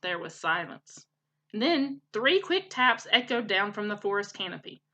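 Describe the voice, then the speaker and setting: A woman reading a children's story aloud as a teacher would, in an expressive storytelling style. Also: medium pitch, moderate pace. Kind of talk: animated children's storybook narration.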